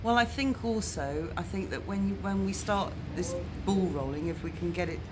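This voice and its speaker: A woman's voice: lowish voice, normal speed, over loud music. A middle-aged woman who volunteers with the homeless, explaining at length in an earnest, casual way with many fillers, filmed close up in a sunny glass shelter. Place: glass-walled seaside shelter, sunny day. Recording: noisy outdoor ambience.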